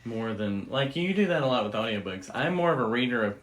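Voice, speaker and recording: Casual male podcast host, medium pitch. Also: expressive, brisk, fairly bright tone. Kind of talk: casual chat.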